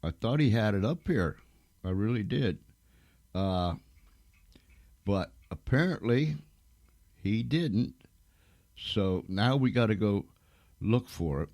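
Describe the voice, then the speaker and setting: A man with a low voice, hosting a live radio show at a very unhurried pace. He is folksy and chatty, circling back to repeat his points. The sound is close and dry. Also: lively intonation, darkish tone, no echo. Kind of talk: casual, rambling live radio show introduction.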